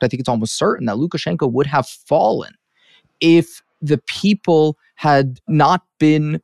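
A man's voice: a little high, a little mellow, no room sound. Earnest male interviewer, dry close sound. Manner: earnest, explanatory, checks in with "right?". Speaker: interviewer, host. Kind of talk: conversational interview commentary.

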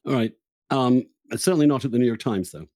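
A middle-aged man hosting a podcast and arguing politics in a blunt, emphatic, conversational way, quick to interject. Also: mid-range voice, warm tone, no room sound, studio quality. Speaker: podcast host. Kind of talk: heated, conversational political debate.

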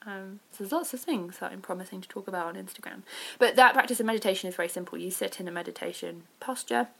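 Creaky-voiced woman, informal podcast chat. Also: mid-range voice, quick pace, fairly bright tone, rough recording. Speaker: podcast host.

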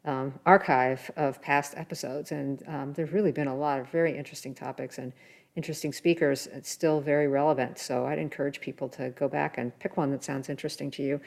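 A woman's voice: deep voice, steady tone, fairly quick, creaky, a little mellow. A woman co-hosting a podcast, talking in a casual, conversational way. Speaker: podcast host.

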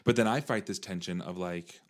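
A young man hosting a casual podcast conversation, earnest and relaxed, saying "dude" and "like" a lot. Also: deep voice, fairly quick. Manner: earnest, uses "dude" and "like" often. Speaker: podcast host.

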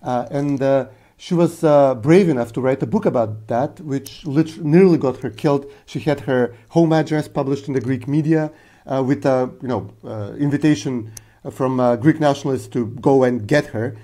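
A man with a dark, mellow voice speaks in casual podcast talk. He halts often and fills his pauses with uh and um. He tells his stories in an earnest, searching way. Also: mid-range voice. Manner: halting, full of uh fillers; earnest storyteller. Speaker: podcast co-host.

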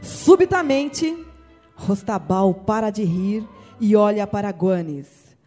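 A narrator reading a radio drama in a measured storytelling manner. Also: medium pitch, lively intonation, a little mellow, faint music behind.

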